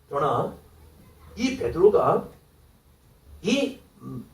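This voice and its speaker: Male pastor, sermon delivery, high and animated, plays out dialogue, distant mic in a reverberant hall. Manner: acts out quoted dialogue, rising to exclamations.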